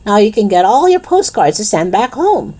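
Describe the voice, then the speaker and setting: A woman's voice: medium pitch, big pitch swings, normal speed, a little mellow, pitched above the performer's own voice. A woman voicing a jovial, boastful male shopkeeper in a storybook, effusive and salesmanlike in manner. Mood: proudly boastful.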